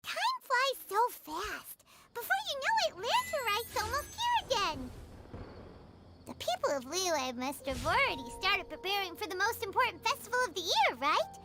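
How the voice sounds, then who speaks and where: Squeaky, excitable little fairy companion. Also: female voice, moderate pace, very noisy, full character voice. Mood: bubbly, eager. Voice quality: squeaky, childlike. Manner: bubbly, exclamatory. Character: small excitable childlike fairy companion, cheerful and food-loving. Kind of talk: anime video game voice acting. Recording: game music behind the voice.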